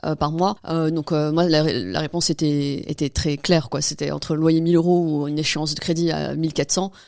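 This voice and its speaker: A woman's voice: deep voice, fairly even intonation, brisk, gravelly, a little mellow, no echo, studio quality. A woman being interviewed as a podcast guest, telling her own story in casual, informal speech. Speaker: interview guest, real estate investor.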